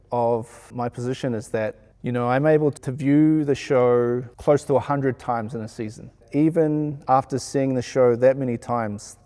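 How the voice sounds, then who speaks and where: Gravelly, mellow New Zealand man. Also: medium pitch. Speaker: sound engineer being interviewed. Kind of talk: reflective interview monologue.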